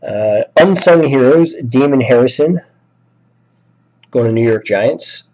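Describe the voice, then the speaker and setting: A man hosting a sports card break, a bit sick with a raspy voice, listing names and teams and chatting casually. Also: mid-range voice, lively intonation, takes it slowly, darkish tone, low-quality recording. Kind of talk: live card break commentary.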